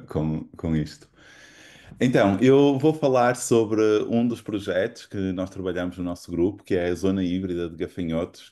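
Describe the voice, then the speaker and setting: A middle-aged man from Portugal with a European Portuguese accent, giving an academic seminar lecture in a measured, explanatory way with frequent fillers and restarts. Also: deep voice, mellow. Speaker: guest lecturer.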